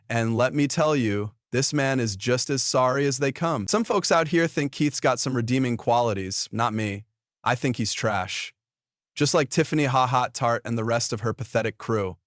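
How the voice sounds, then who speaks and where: A man's voice: medium pitch, no echo, studio quality. A man hosting online gossip commentary in a blunt, mocking, taunting way.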